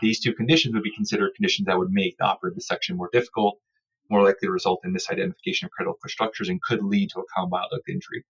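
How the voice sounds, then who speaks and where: A man's voice: low-pitched, lively intonation, racing, a bit bright, breathy edge. A man giving a formal, precise, prepared-sounding answer as an interview guest, on a slightly dull recording. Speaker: interview guest, surgical researcher.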